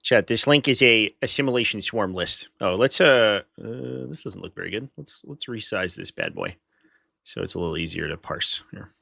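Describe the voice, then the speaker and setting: A man's voice: on the low side, big pitch swings, normal speed, gravelly, pushed and bright, no echo. A man with a stuffy nose from a flu, hosting a live stream in casual chat, talking straight to his viewers with dry sarcastic asides.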